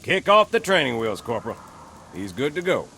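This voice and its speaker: A tough veteran male sergeant major in a video game. He is gruff, wry and commanding, with a creaky, gravelly edge and animated pitch. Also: normal speed, slight background noise, full character voice. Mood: brisk, commanding.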